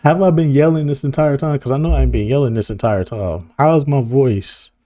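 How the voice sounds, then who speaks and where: A hoarse man of about thirty, talking casually to camera in a rambling, slang-heavy way and laughing often. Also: mid-range voice, a little fast, warm tone, no echo. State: hoarse, losing his voice. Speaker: video creator. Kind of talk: casual reminiscing monologue to camera.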